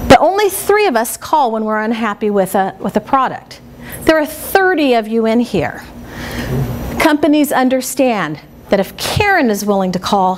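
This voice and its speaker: A woman with a big voice teaching a workshop to a small group, chatty and lively and quick to laugh, speaking in a meeting room without a microphone. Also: high voice. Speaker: speaker trainer.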